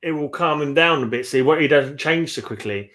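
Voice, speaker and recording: A man's voice: a little high, fairly quick, fairly bright tone. A man co-hosting a read-along in casual conversation, musing tentatively and repeating phrases as he theorises. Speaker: co-host of a book read-along. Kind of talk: casual book discussion.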